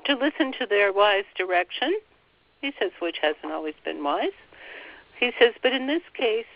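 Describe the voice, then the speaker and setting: A woman leading a slow guided meditation, softly passing on a spiritual guide's words phrase by phrase. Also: medium pitch, expressive, dry recording. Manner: soft, relaying every phrase with "he says". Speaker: channeler relaying a spiritual guide. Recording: dull, dry lo-fi recording.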